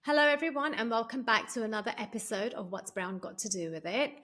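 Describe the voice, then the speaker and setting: A woman's voice: fairly high pitch, animated, quick pace, a bit bright, faint music behind. A woman hosting an interview podcast, welcoming her guest and asking questions with encouraging reactions. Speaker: podcast host.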